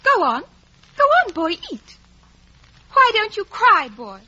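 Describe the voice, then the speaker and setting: A woman's voice: very high-pitched, huge pitch swings, takes it slowly, sharp tone, exaggerated voice. A woman playing a haughty, spiteful young girl in a 1950s radio drama, imperious and scornful. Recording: muffled vintage radio recording.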